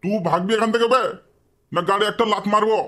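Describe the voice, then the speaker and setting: A man's voice: high-pitched, steady tone, fast, fairly bright tone. A man voicing a grumpy, stingy, foul-mouthed father in a cartoon comedy. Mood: threatening. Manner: gruff, threatening, swearing.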